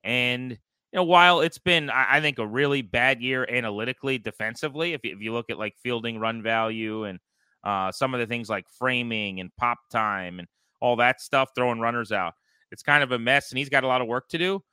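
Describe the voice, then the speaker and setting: Bright, crisp American sports talk host. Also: male voice, mid-range voice, a little fast, no echo. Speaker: baseball show host.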